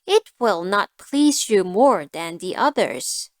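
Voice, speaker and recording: Lady doing a smug greedy girl cat for a fairy tale, really slow n deliberate, haughty, dry close sound. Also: medium pitch, very animated, dry recording. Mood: smug, dismissive.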